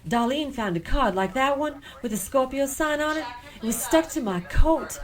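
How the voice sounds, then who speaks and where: A woman's voice: sits high, lively intonation, moderate pace. A woman playing an anxious, shaken young witness in an audio drama, speaking emotionally.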